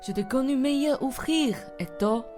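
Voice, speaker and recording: A woman's voice: high voice, moderate pace, music in the background, close to an everyday voice. A young woman voicing a bossy, theatrical male pickpocket boss in a French farce radio play, indignant and melodramatic. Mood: theatrical, brisk. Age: young adult. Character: bossy, theatrical male pickpocket gang leader, scolding his apprentices.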